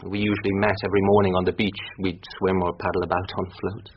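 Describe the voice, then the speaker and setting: An actor with a British accent playing an earnest, defensive, well-spoken husband under questioning in a radio drama. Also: male voice, deep voice, little pitch movement, fast, lightly acted. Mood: defensive, candid. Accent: British English. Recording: muffled radio broadcast, hissy with clicks.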